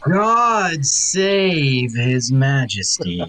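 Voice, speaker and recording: A man playing a blunt, sardonic nobleman in a Shakespeare audio drama, with a husky voice and a needling edge. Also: medium pitch, very animated, drawn-out words, fairly bright tone, heavily acted. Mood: mocking.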